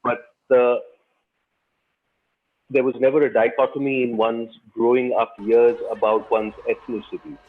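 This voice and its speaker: A man from the subcontinent, an actor giving measured, thoughtful answers in an interview. His mid-pitched voice comes through a thin, tinny phone-like line. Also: flat delivery.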